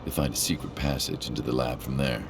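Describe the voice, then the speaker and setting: Deep, gravelly underworld fixer. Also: male voice, fairly even intonation, fast, noisy background. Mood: sly, genial. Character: shady, genial male underworld fixer. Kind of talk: dramatic video game acting. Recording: music behind the voice.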